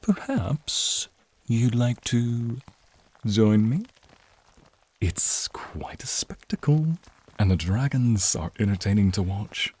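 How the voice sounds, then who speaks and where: A man voicing a flirtatious, teasing male naga in an ASMR roleplay, speaking in a seductive, breathy, drawn-out way to the listener. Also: medium pitch, very animated, darkish tone, exaggerated voice. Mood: flirty, playful. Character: flirtatious, teasing male naga, crimson-eyed protective companion. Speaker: voice actor.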